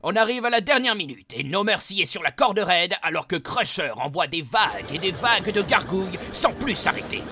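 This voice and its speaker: A man voicing a prim, snippy female sports commentator in a cartoon fan dub, with a brisk, scolding announcer delivery. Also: high voice, expressive, sharp tone, faint music behind, full character voice. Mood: irritated.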